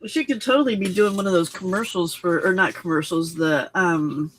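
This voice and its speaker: A woman's voice: lowish voice, moderate pace, a little hiss or hum, rough recording. A woman hosting a crafting livestream, chatty and playful, scolding her cat and joking with her co-host. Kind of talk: casual chat while crafting.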